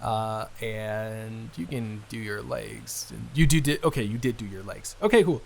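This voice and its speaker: A man playing an awkward, earnest young man in an improvised intimate roleplay, hesitant, rambling and quick to apologize. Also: on the low side, gravelly, darkish tone, a little hiss or hum. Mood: awkward, chatty. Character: awkward, earnest, smitten young man, best friend's brother.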